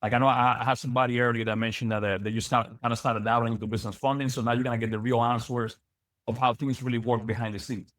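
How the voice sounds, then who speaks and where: A young man from the Dominican Republic giving an energetic live business training lecture, repeating words and using many fillers. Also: medium pitch, fast, no room sound. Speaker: business coach.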